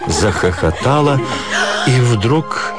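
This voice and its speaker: A man narrating a literary story for radio, with expressive, measured dramatic phrasing. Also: mid-range voice. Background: music.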